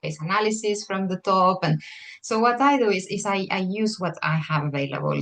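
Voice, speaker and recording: A woman, a perfumer and psychotherapist, answering interview questions in a reflective way, with many pauses and fillers. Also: fairly low pitch.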